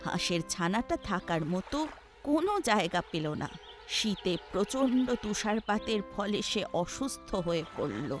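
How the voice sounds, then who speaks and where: A woman narrating a scripted children's story with an expressive storybook delivery. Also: mid-range voice, normal speed, soft background music.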